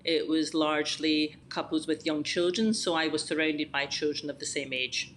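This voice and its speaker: A Scottish woman in her fifties with a fairly low voice tells her story with reflective, unhurried detail. She speaks over a remote connection, with a faint hiss beneath her words. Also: fairly even intonation. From Scotland. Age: 50s. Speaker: podcast guest. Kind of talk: conversational interview storytelling.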